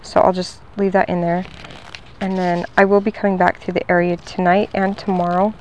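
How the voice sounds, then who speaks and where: A woman's voice: on the low side, flat delivery, normal speed, slight background noise, poor audio. A woman going door to door selling pest control, talking in a friendly, upbeat and talkative way at a front door outside. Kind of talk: sales pitch at a front door. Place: outdoors at a house door.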